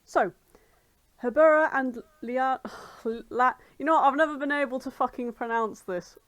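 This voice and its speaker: A woman's voice: high voice, normal speed, a bit bright, no room sound, low-quality recording. A woman in casual chat, emphatic and joking, swearing freely. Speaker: reader in a group reading.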